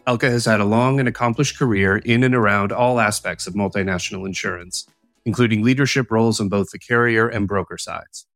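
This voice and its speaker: Formal male podcast host, lowish voice. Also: fairly bright tone, no echo, clean studio sound, soft background music. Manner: formal, measured. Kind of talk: scripted interview questions.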